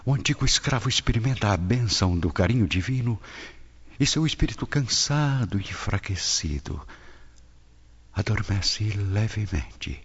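Deep-voiced Brazilian male radio narrator. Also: animated, moderate pace, slightly rough. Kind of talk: solemn scripted radio drama narration. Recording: dull lo-fi, music behind.

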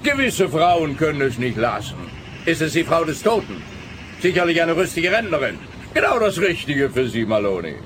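An actor playing a jaded, condescending police officer in a radio play, pompous and long-suffering, with a Swiss accent. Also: male voice, on the high side, very animated, moderate pace, a bit bright. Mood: mocking. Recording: noisy outdoor street ambience with traffic.

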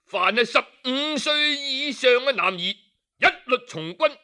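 Cantonese guy spinning an old war tale, slow and drawn out, bright clear voice, sighs a lot. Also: animated. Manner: dramatic, sighing, shifts pitch for quoted figures. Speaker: storyteller. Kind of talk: traditional Cantonese storytelling.

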